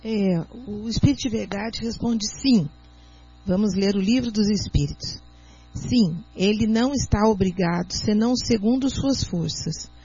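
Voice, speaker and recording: A Brazilian woman speaking as a doctor and guest on a radio talk show, explaining in an earnest, teacherly way and sometimes reading aloud slowly. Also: medium pitch, mellow, slightly rough. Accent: Brazilian Portuguese. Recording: muffled lo-fi radio audio.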